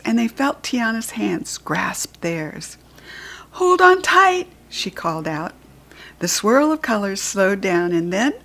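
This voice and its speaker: A woman reading a children's storybook aloud, clearly and expressively. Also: medium pitch. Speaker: storybook reader.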